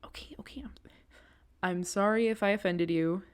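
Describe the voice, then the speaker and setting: A woman acting an excitable, reassuring young girlfriend on a date in an audio roleplay, talking casually to the listener with hesitant asides and eager repetition. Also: medium pitch, normal speed, clear, resonant tone, close to an everyday voice. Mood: nervous.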